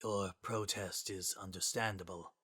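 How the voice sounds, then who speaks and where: A man voicing a regretful, resigned scientist, speaking formally and quietly in measured, grave phrases for a story reading. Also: sits low, fairly even intonation, slightly rough, exaggerated voice. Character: solemn, regretful male scientist, formal and resigned, lost to the world.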